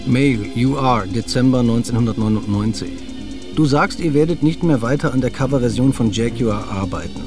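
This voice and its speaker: A man's voice: medium pitch, moderate pace, over music, close to an everyday voice. A man playing an indignant activist, reading out an accusing email in a radio drama. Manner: accusing, rhetorical questioning. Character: indignant male activist writing an angry email.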